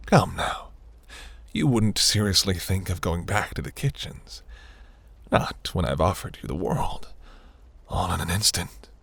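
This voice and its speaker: Man voicing a deep, gravelly, seductive demon prince. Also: animated, measured pace, a bit bright, dry recording, full character voice. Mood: coaxing, possessive. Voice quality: low, gravelly, breathy. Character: seductive, imperious demon prince, smug and possessive. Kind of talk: scripted romantic fantasy audio roleplay.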